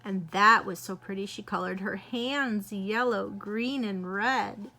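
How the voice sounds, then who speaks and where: A woman reading a children's picture book aloud in an expressive, lively way. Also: medium pitch, slow pace. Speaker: children's storyteller.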